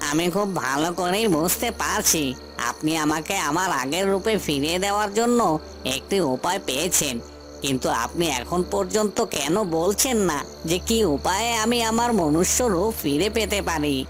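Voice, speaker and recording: A man's voice: high-pitched, lively intonation, normal speed, a bit bright, soft background music. A man playing an earnest young cursed prince in a cartoon fairy tale, speaking in a pleading, respectful way. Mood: pleading, determined.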